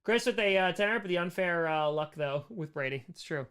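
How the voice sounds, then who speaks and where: An American man hosting a casual livestream Q&A, chatting in an animated way and repeating short phrases for emphasis. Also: high-pitched, fairly quick, a little hiss or hum. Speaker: livestream host answering chat questions.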